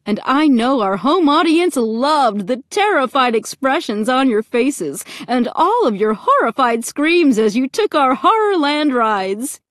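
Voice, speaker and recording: A woman putting on a high, gushing monster hostess. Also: big pitch swings, unhurried, hardly any pauses, dry recording, clean studio sound. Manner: bright, showy announcer patter. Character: cheery, gushing female monster game show MC.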